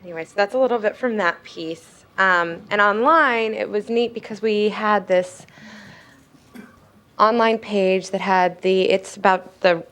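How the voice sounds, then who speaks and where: A multimedia journalist speaking to a conference audience in a casual, self-deprecating way, laughing often and using many fillers. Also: female voice, mid-range voice, unhurried, fairly bright tone. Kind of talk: conference talk.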